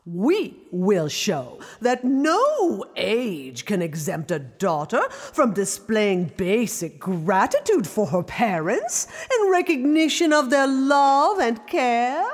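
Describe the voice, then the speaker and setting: Woman doing a snooty courtroom prosecutor, audio drama, drags out words, really animated pitch. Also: heavily acted. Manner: pompous, drawn-out accusing delivery. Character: haughty, relentless courtroom prosecutor in an inner trial.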